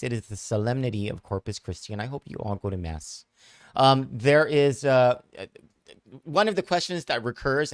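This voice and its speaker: A man's voice: medium pitch, no room sound, studio quality. A man, hosting a news analysis show and answering viewer questions in a thoughtful, hesitant way full of fillers and restarts. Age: 40s.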